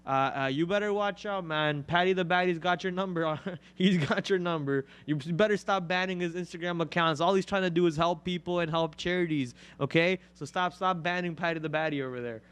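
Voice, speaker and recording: A man's voice: sits high, a little fast, a bit bright, smooth. A man hosting a live stock trading show, chatting and joking casually while calling out chart levels.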